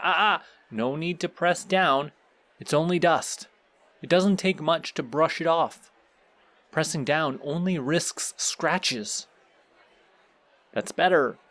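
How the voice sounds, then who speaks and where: A man's voice: medium pitch, fairly bright tone. A man playing a pleasant supervillain boss in an audio roleplay, speaking politely and formally as he instructs the listener. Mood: gently instructing. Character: courteous, surprisingly pleasant male supervillain boss.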